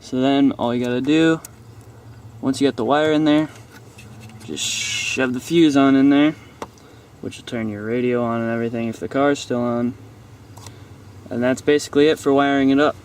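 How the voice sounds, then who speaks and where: Casting: young American man, medium pitch, informal offhand how-to host, camera audio with noticeable outdoor and vehicle noise. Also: steady tone, moderate pace, long pauses, darkish tone. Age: young adult. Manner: informal, offhand, loosely phrased. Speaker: host of a how-to car video. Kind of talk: casual hands-on tutorial. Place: outdoors in a driveway and inside a parked car.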